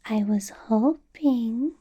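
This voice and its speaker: A woman playing a possessive, doting female vampire boss in a whispered ASMR roleplay, coaxing softly with a sweetly sinister edge. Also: fairly high pitch, heavily acted. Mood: flirty. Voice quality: breathy, whispered. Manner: slow, coaxing, soft giggly delivery.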